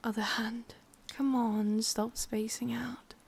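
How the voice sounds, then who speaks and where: Slow whispering English witch, acted by a woman, possessive. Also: on the high side, level tone, full character voice. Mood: coaxing, softly commanding. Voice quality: breathy, whispery. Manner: slow, coaxing, intimate, softly commanding. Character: possessive, doting, sadistic young female witch captor. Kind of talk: whispered ASMR roleplay.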